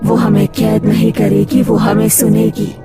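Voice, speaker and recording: A woman's voice: measured pace, lightly acted. A woman voicing a vengeful, defiant ghost of wronged village women, accusing and dramatic, in a horror story. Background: loud music.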